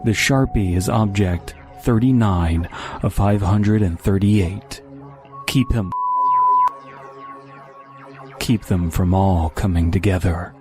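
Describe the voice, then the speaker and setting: Slow, low-voiced man narrating horror. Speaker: story narrator. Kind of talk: scripted horror story narration. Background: loud music.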